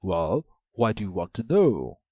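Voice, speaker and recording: A huge, gruff ogre booms out his words, slow and suspicious, as a man puts him on for a storybook reading. The voice sits low and a little husky. The recording is dull and dry. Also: very animated, no room sound, exaggerated voice. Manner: loud, booming, suspicious.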